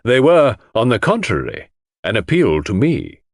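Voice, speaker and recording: A man voicing a stiff, formal widower under suspicion, explaining himself deliberately and with composure. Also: medium pitch, huge pitch swings, no echo, clean studio sound, full character voice. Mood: defensive.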